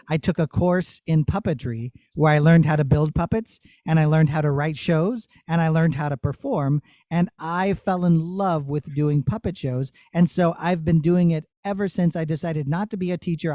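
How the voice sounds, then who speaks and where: Male puppeteer, middle-aged, high voice, casual teaching delivery for young listeners. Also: warm tone, dry recording. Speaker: puppeteer teaching a master class. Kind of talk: casual explaining to children.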